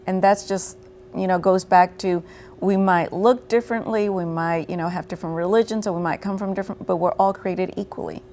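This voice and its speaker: A woman with a slightly low voice, explaining her program to an interviewer. She speaks clearly and works through concrete examples. A faint hiss runs under the recording. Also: steady tone, a little fast, a little mellow. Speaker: program coordinator guest. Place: local TV studio.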